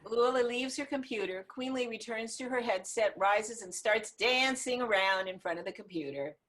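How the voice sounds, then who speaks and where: A woman's voice: fairly high pitch, moderate pace, a bit bright, poor audio. A woman narrating the stage directions of a screenplay in a scripted table read over a video call. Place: online video call reading.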